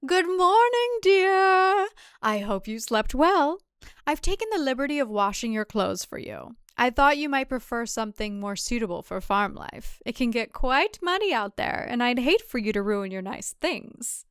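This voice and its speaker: A woman's voice: a little high, sing-song, moderate pace, fairly bright tone, clear, resonant tone, no echo, clean studio sound. A woman putting on a saccharine chirpy hostess. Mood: sugary. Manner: chirpy, saccharine, overly polite. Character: sickeningly sweet older woman, retreat hostess.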